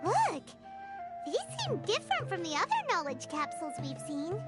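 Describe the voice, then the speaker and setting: A woman voicing a tiny, excitable fairy companion who talks in a squeaky, bubbly, exclamatory way. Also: moderate pace, heavily acted. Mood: excited. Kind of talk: video game voice acting. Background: game score music.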